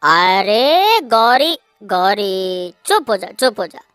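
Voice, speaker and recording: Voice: mid-range voice, sing-song, extremely slow, a bit bright, clean tone, no room sound, faint music behind, heavily acted. A performer voicing an arrogant young male suitor in a Hindi cartoon, condescending and boastful. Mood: smug, coaxing.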